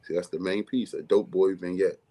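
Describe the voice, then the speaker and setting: A man from with a deep, bass-heavy, mumbly voice, talking casually as a music artist guest in an interview. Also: fairly even intonation, brisk, warm tone. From Baltimore, USA. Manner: mumbly, laid-back streetwise delivery.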